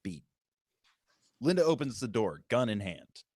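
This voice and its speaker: A man's voice: mid-range voice, big pitch swings, measured pace, darkish tone, dry recording, studio quality. A man giving scripted narration, reading out the stage directions at a table read.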